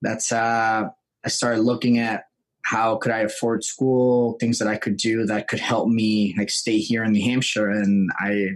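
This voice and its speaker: A young man, telling his story as a podcast guest in a relaxed, chatty way. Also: on the low side, measured pace. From Puerto Rico. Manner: relaxed and chatty, acts out his own past lines in quotes. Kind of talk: casual conversational storytelling.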